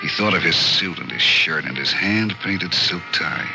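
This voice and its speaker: A man narrating an old radio thriller in a grim, suspenseful, deliberate manner. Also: deep voice, poor audio. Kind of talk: dramatic scripted narration, 1940s-50s radio thriller. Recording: old radio recording, loud music behind.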